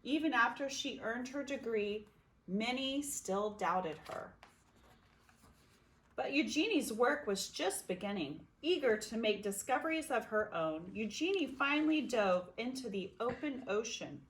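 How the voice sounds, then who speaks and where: A woman reading a children's picture book aloud expressively, with dramatic pauses and emphasis. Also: a little high, animated, low-quality recording. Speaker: storybook reader.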